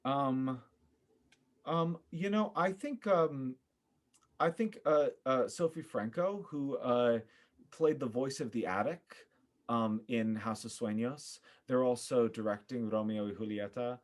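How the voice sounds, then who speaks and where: A man speaking as a podcast guest in a casual interview, earnest and effusive, often pausing to think. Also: mid-range voice. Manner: earnest, effusive, halting with thoughtful pauses. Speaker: podcast guest, theater performer.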